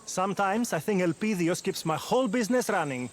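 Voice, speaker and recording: A chatty market trader in a game, highish voice, hearty, faint insects in the background. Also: male voice, animated, fairly quick, a little hiss or hum. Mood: cheerful. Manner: hearty, familiar. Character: jovial, chatty Greek market merchant and baker. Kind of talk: video game cutscene acting.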